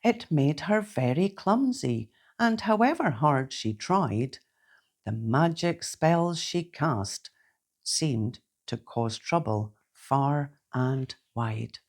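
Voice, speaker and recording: A woman reading a children's bedtime story aloud in a gentle, theatrical, deliberate way. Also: low-pitched, lively intonation. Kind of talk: expressive read-aloud bedtime storytelling in rhyme.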